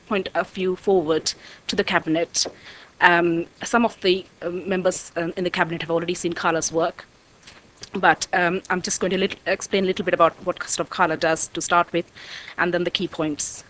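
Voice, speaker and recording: A woman with a croaky voice giving a formal presentation to a council as an arts company director. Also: fairly low pitch, little pitch movement, moderate pace, a bit bright, poor audio. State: croaky voice, needing water. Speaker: artistic director of a dance company, guest speaker. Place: council meeting room.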